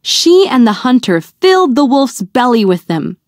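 A woman reading a fairy tale aloud to young children in a deliberate, expressive storytelling manner. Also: a little high, no echo, studio quality.

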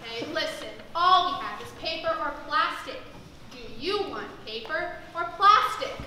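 Lady playing fed up young store cashier, bright high voice, sarcastic, stage play. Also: expressive, moderate pace, heavily acted. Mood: exasperated. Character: exasperated, sarcastic young supermarket cashier woman. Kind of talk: stage comedy acting. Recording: distant, very echoey theatre stage recording with noise.

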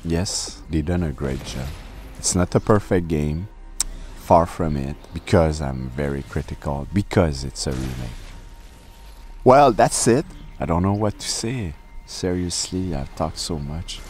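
A man's voice: rumbling low, expressive, measured pace, a little hiss or hum. A man hosting his game channel, giving a playful, self-mocking spoken review. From Canada. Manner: playful, self-mocking, with sudden exaggerated shouted bursts. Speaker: host of game walkthrough channel.

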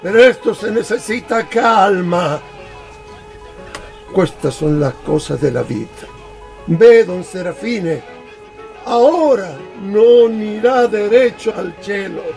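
An actor playing a calm, philosophical merchant in a radio play, speaking in a soothing, drawn-out way. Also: male voice, high voice, creaky, faint music behind, heavily acted.

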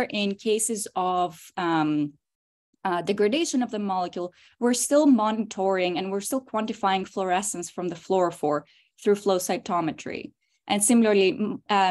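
Graduate researcher, woman, mid-range voice, calm webinar delivery over a call mic. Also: medium pitch. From Greece. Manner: steady, explanatory, occasional um and uh. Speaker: graduate student presenter. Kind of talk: scientific webinar talk. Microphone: computer mic on a video call.

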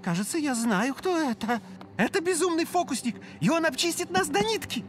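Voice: sits high, animated, a little fast, noisy background. An actor voicing a greedy, knowing cartoon pirate schoolkid who talks insistently. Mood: warning. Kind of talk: cartoon voice acting. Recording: loud music behind the voice.

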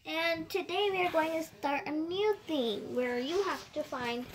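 A woman's voice: very high-pitched, unhurried, slight background noise. A teenage girl co-hosting a casual vlog, eagerly chiming in and finishing her partner's lines. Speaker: co-host.

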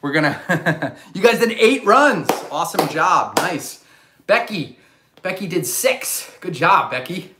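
A man in his thirties coaching a live online gym class for kids from his living room, calling out energetic commands and countdowns. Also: high voice, takes it slowly, slight background noise. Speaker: fitness coach. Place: bright living room, indoors. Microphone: camera across the room. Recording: echoey room.

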